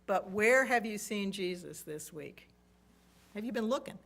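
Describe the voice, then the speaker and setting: A woman's voice: mid-range voice, animated, measured pace, creaky, a little hiss or hum. A woman deacon giving a conversational sermon in a church and inviting the congregation to share. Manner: warm, conversational preaching to a congregation. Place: church sanctuary.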